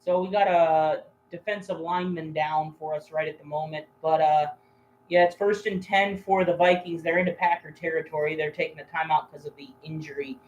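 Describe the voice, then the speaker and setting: A man co-commentating on a sports stream, chatting casually and giving score updates in a hesitant, low-key way. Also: fairly even intonation, brisk, a little hiss or hum, rough recording.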